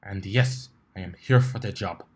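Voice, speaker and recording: A man's voice: fairly low pitch, moderate pace, close to an everyday voice. A man voicing a stern, stiff female soldier pony with a clipped, emotionless, formal delivery.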